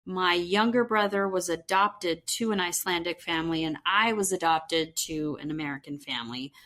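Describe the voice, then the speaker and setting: A woman with a slightly low-pitched voice speaks as a podcast co-host. She recaps television in a casual, conversational way, like chatting with a friend. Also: a bit bright.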